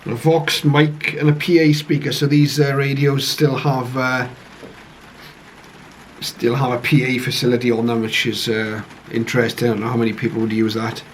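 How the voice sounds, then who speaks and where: A man giving a casual, low-key first-look commentary on a piece of equipment, speaking hesitantly and without hurry. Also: flat delivery, normal speed. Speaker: radio hobbyist reviewer. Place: conservatory, later a home radio room. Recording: clearly noisy home recording.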